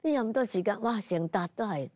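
An older woman with a Hong Kong Cantonese accent reminisces conversationally. Her pitch is middling, recorded dry without room sound. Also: expressive, fast, darkish tone, no echo. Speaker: interviewee.

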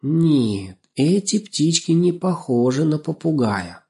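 A man voicing a tiny, friendly, gentle fairy-tale little man who speaks earnestly and thoughtfully. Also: medium pitch, lively intonation, moderate pace, voice pushed up, close to an everyday voice.

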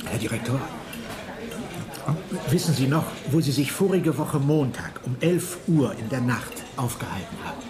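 A man's voice: medium pitch, lively intonation, moderate pace, a crowd in the background, lightly acted. An actor in a German radio play playing an agitated man who stammers and suspects a plot against him. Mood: probing. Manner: stammering, breathless, exclamatory.

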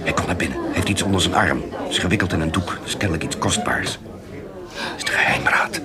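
A man's voice: low voice, fairly quick, close to an everyday voice. An actor in a Dutch radio play playing a wry, loyal and slightly bashful male companion in the 1790s. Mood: watchful, urgent. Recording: busy crowd chatter behind the voice. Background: loud tavern crowd.

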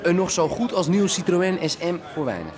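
An actor playing a cocky, cash-strapped young wheeler-dealer in a radio drama, pushy and bragging. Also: male voice, high voice, big pitch swings, brisk, crowd noise. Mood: pushy selling. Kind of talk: Dutch radio drama acting.

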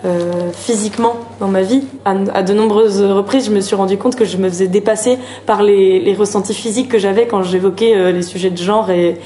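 Soft-toned, mellow reflective woman. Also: medium pitch, fairly even intonation. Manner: halting, reflective, restarts phrases. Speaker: dancer. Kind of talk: casual personal testimony.

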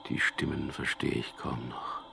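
An actor playing a drugged, tormented prisoner in a radio drama, narrating his memories in a gravelly, breathy, half-whispered inner monologue, brooding and bitter. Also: extremely low pitch, fairly even intonation, measured pace, heavily acted. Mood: dazed, pained.

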